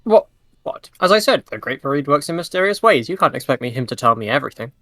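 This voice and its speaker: A man's voice: mid-range voice, big pitch swings, fast, pushed and bright, no room sound. A man voicing a sly, condescending male cultist in a tabletop game, improvising with a grand air. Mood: bluffing. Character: sly, bluffing male cult follower with haughty air.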